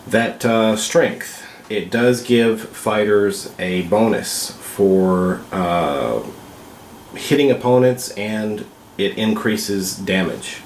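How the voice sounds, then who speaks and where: A man with a cold, sounding congested, hosting a casual vlog and explaining things slowly with many pauses and fillers. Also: fairly low pitch, echoey room, a little hiss or hum. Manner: slow, hesitant, with many fillers. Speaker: vlog host.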